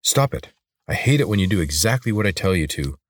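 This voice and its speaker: A man acts out a young male adventure hero for a storybook. He is impatient, blunt and demanding, with big swings in pitch and a dry, close sound. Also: sing-song, brisk, no room sound. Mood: irritated. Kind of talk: audiobook character voice.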